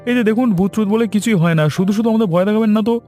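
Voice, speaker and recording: A man voicing a bold, adventurous young man in an animated horror story. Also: sits high, very fast, soft background music, lightly acted. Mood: scoffing. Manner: eager, scoffing.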